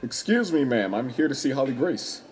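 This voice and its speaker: A man's voice: medium pitch, sing-song, moderate pace, darkish tone, slight background noise, close to an everyday voice. A man in a radio play, playing an earnest and devoted young amateur sleuth. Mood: flustered politeness.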